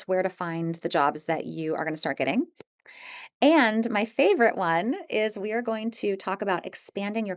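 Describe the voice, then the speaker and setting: Female course presenter, medium pitch, informal and encouraging, talks like a mentor, very dry recording. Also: no room sound. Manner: chatty, encouraging, informal and self-deprecating. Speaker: audiobook narrator teaching an introductory course. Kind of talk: casual, conversational course presentation.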